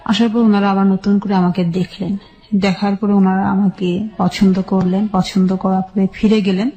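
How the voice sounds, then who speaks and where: A woman with a Bangladeshi accent shares her own story in a radio interview. Her voice is soft-edged and mellow, at an ordinary pitch. She recalls things in short, halting phrases. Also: little pitch movement, muffled recording, faint music behind. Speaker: guest telling her life story.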